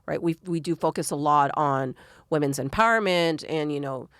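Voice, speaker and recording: A woman hosting a podcast interview, gushing and effusive, often halting on filler phrases. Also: low-pitched, a bit bright, no echo, clean studio sound.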